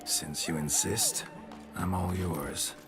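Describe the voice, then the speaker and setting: Laconic, weary fantasy-game swordsman, deep and dry in delivery, unimpressed by everyone. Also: slow pace, full character voice. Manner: deadpan, laconic. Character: terse, world-weary monster-hunting swordsman. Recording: music behind the voice. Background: game music.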